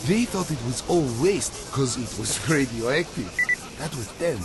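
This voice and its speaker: A man playing a gruff, griping repairman in a post-apocalyptic video game, talking in a colloquial, grumbling way. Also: mid-range voice, lively intonation, unhurried, background noise. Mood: chatty, wry. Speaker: mechanic character. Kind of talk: video game character dialogue. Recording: music behind the voice.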